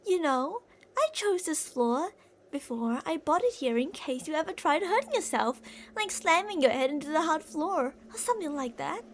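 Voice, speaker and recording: Obsessive female yandere captor played by a woman, ASMR roleplay: high, very animated, theatrical, sugary endearments laced with menace. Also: moderate pace, a little hiss or hum. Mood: musing, possessive. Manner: theatrical, teasing sing-song with endearments and threats. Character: older obsessive yandere woman kidnapper, possessive, menacing, sweet-talking.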